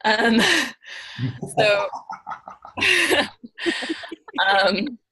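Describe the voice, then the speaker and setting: A woman chatting casually on a podcast panel, thinking aloud haltingly with an amused manner. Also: fairly high pitch, very animated, bright, forceful tone, husky, dry recording.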